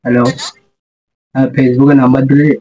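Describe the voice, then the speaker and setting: Prank-call host: young man, very quick delivery, informal and crude. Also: mid-range voice, flat delivery, narrow, tinny audio, music in the background. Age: young adult. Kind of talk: casual chat to viewers and prank calls.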